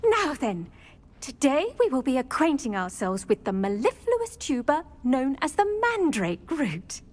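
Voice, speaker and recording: An actress playing a cheerful, nurturing young herbology professor with an effusive, flowery manner, in a video game. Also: female voice, high voice, sing-song, measured pace, full character voice. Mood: delighted. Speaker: herbology teacher. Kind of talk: video game cutscene acting.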